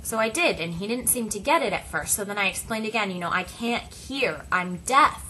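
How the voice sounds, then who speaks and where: Bright-voiced woman telling a personal story. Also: medium pitch, animated, moderate pace, slight background noise, poor audio. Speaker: interpreter voicing a signed personal story.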